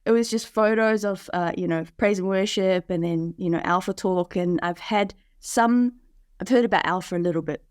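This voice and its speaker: A woman's voice: mid-range voice, normal speed, clean tone, no room sound, clean studio sound. A woman from New Zealand, a podcast guest, telling her story in casual conversation over a video call, with many fillers and restarts. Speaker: podcast guest, parish leader.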